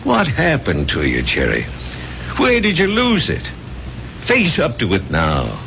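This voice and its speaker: An American actor playing a protective, middle-aged ex-soldier barkeeper in a 1970s radio drama. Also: male voice, medium pitch, huge pitch swings, measured pace, vocal fry, fairly bright tone, full character voice. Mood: urgent, exhorting. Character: gruff, protective older ex-soldier barkeeper, urgent and fatherly. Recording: muffled, hissy 1970s radio recording.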